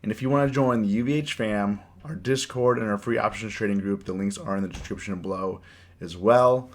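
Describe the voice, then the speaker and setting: A man with a cold and a hoarse voice, hosting a casual live stream and chatting with his viewers, often repeating his words. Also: on the low side, a little fast. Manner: rambling, repeats words, reads chat aloud. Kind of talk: casual live stream chat about trading.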